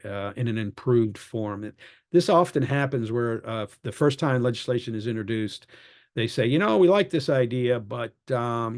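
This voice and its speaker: A man's voice: medium pitch, a little mellow. Man giving an advocacy update. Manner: conversational, many fillers and restarts. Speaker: advocacy group leader. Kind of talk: online meeting update and Q&A.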